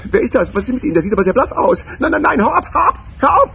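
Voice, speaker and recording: An actor playing a chatty, excitable gambler, talkative and emphatic, in a radio crime drama. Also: male voice, very high voice, very fast, vocal fry, husky, full character voice. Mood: rattled, panicked. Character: excitable, chatty betting man, easily rattled.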